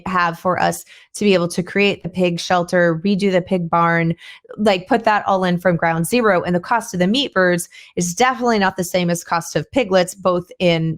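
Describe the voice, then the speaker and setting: A hoarse, middle-aged woman talks casually into her podcast mic. Her delivery is chatty and meandering. She often restarts a thought partway through, as if working it out aloud. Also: on the low side. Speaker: podcast host. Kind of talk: casual solo podcast talk.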